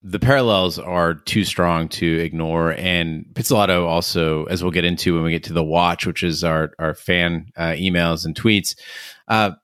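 A man's voice: low voice, steady tone, moderate pace, fairly bright tone, clear, resonant tone, dry recording, studio quality. A man co-hosting a TV review podcast, discussing a series in an analytical way. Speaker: podcast host.

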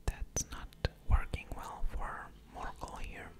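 Female ASMR gamer: whispered, very leisurely game commentary, mulling over moves, audible mouth sounds. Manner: slow, musing aloud over each move.

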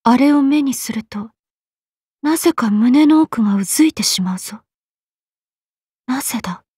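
A woman's voice: high-pitched, expressive, normal speed, dark tone, breathy edge, no room sound, clean studio sound, heavily acted. A woman playing a regal goddess queen in an anime game, lofty and old-fashioned in speech. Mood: wistful, regal. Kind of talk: anime mobile game voice acting.

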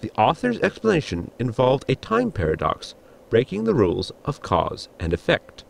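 An actor playing a polite, literal-minded ship's assistant with a gravelly, husky voice, explaining formally in a sci-fi audio comedy. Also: male voice, mid-range voice, huge pitch swings, moderate pace, full character voice. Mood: explanatory. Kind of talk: sitcom audio drama acting.

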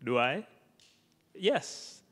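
Slow Kenyan man on a theater stage. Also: mid-range voice, sing-song, a bit bright. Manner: slow, courteous, playful with the audience. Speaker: panelist. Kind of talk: public panel talk. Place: theater stage before a seated audience. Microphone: handheld mic. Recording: long reverb of a theater hall.

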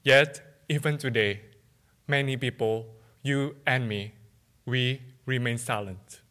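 A young man from Indonesia, a student, giving a measured, emphatic competition speech at a lectern microphone in a large theatre. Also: mid-range voice, sharp tone. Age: young adult. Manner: measured, emphatic oratory with pauses. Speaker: student orator.

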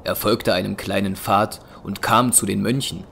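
A man narrating a scripted audio drama as a measured storyteller. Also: fairly low pitch, steady tone, gravelly, fairly bright tone, a little hiss or hum. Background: music.

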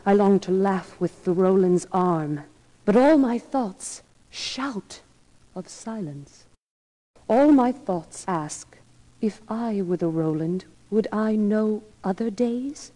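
A woman voicing a slow, brooding living sword. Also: medium pitch, animated, dark tone, no room sound, low-quality recording. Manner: slow, solemn, poetic. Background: music.

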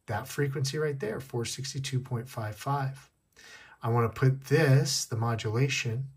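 A man with a slightly husky voice, giving a casual hands-on tech tutorial, explaining step by step and repeating key points. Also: mid-range voice, darkish tone. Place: indoors at a wooden desk.

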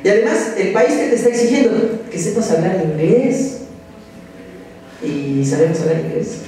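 Mexican male lecturer, 30s, direct and exhorting; warm timbre, very roomy hall sound. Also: sits high, sing-song, normal speed, slight background noise. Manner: direct, exhorting, addresses students as tú. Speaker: psychology lecturer. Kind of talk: conference talk to students. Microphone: podium microphone. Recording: roomy echoing hall from a distance.

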